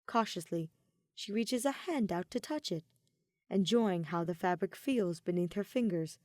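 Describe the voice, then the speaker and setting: A woman reading scripted fiction as a narrator, with expressive, measured storytelling. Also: medium pitch, no room sound, clean studio sound. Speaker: podfic narrator.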